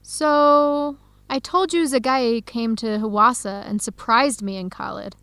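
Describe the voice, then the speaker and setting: A young woman playing an earnest researcher searching for her birth family in an educational radio drama, speaking clearly and conversationally. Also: on the high side, steady tone, unhurried, a bit bright, dry recording. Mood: candid. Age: young adult.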